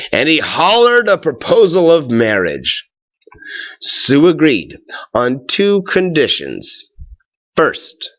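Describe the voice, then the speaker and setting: Expressive, slow-paced man reading a children's story. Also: medium pitch, highs cut off. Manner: expressive, deliberate phrasing with drawn-out words and pauses. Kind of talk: read-aloud of a children's tall tale.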